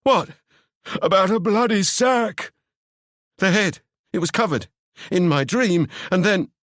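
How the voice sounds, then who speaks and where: A man voicing a panicked young man who shouts desperate questions in a shrill voice. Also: expressive, slow pace, dry recording, clean studio sound, exaggerated voice. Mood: furious. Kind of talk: audiobook character voice.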